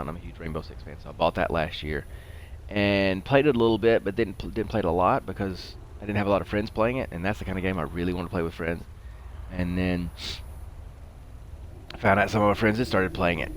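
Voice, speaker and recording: A middle-aged man giving casual, rambling commentary while he plays a video game. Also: deep voice. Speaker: gaming show host. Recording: faint background noise.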